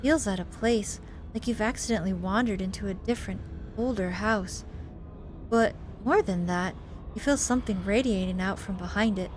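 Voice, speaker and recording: A woman's voice: mid-range voice, moderate pace, a little hiss or hum. A woman doing let's play commentary, reading a visual novel aloud and reacting expressively. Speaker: gaming video host. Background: game music.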